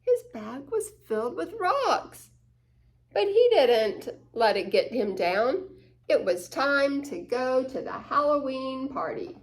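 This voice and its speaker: Slow, higher-pitched woman reading a storybook. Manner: expressive, dramatic shifts between hushed and excited. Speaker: children's story time reader. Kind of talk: read-aloud picture book narration with asides to listeners.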